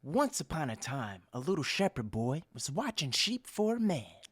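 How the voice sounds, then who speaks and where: A woman narrating rhyming fables for a radio drama in a theatrical, expressive, emphatic style. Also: low voice, normal speed, husky. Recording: loud music behind narration.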